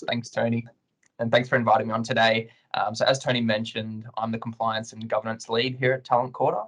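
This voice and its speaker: A man speaking as a webinar guest, answering questions in a measured, professional, explanatory way. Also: medium pitch, little pitch movement, fairly bright tone. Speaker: client guest, compliance lead.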